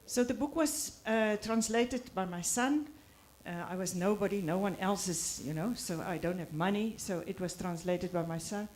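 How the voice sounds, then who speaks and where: A woman author from South Africa, speaking English as an in a halting, reflective way with many pauses. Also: medium pitch, darkish tone, slight background noise. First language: Afrikaans. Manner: pauses often, halting with fillers. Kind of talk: reflective talk at a book presentation.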